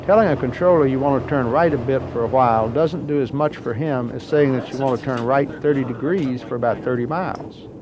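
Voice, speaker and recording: A man's voice: mid-range voice, fairly quick, hardly any pauses. A man reading scripted instructional narration for pilots in a plain-spoken, explanatory manner. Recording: muffled, noisy old training tape.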